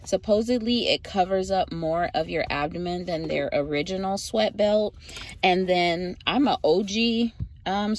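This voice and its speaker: A young woman chatting casually to her phone camera from inside a parked car, relaxed and full of fillers, with a lilt. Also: fairly low pitch. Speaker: vlogger. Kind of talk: casual vlog talk to camera. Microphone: phone camera at arm's length.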